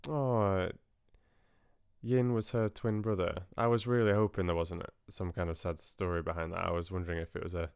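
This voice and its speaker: A man's voice: deep voice, little pitch movement, normal speed, a little mellow, clean tone, dry recording, studio quality. A man hosting a livestream, chatting casually with viewers in a friendly, hesitant way with trailing asides. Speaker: livestream host. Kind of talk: casual chat with live viewers.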